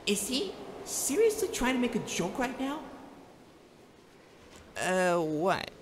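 A man voicing a brash, teasing demon gangster with a soft side, slangy and expressive, in a cartoon comic dub. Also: very high voice, slow pace, a little hiss or hum, exaggerated voice. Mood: wry. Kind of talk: animated comic dub acting.

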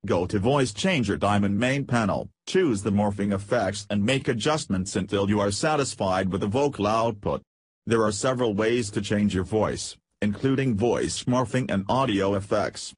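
Deep-voiced man narrating, husky and dry. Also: lively intonation, moderate pace, no room sound. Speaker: tutorial narrator. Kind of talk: scripted step-by-step software tutorial narration.

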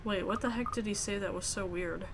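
A woman with a mid-pitched voice narrates a visual novel aloud. She is expressive and a bit sassy, slipping into casual commentary over faint noise and game ambience. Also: brisk.

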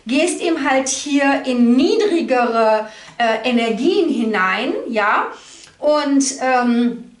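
High-pitched woman, slow halting card reader. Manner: halting, thinking aloud, frequent tag questions. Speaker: tarot card reader, host. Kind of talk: unscripted card reading addressed to the viewer. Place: indoor room at a table. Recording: roomy echo.

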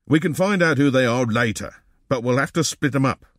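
A man playing a strict wartime official in a storybook, giving crisp orders to children. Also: mid-range voice, fairly quick, no room sound, studio quality, lightly acted. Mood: firm.

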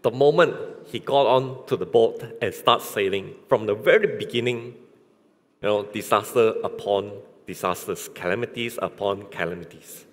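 A man in his thirties with a Malaysian accent preaching a church sermon in short, halting, colloquial phrases, pausing for an interpreter. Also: on the low side. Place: large church hall, indoors, on stage. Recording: echoey hall with long reverb.

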